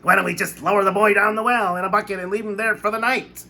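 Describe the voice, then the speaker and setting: A man's voice: sits high, fairly even intonation, quick pace, gravelly, sharp tone, voice pushed up, heavily acted. A man voicing a fat, greedy aunt who schemes and bosses a boy around. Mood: scheming.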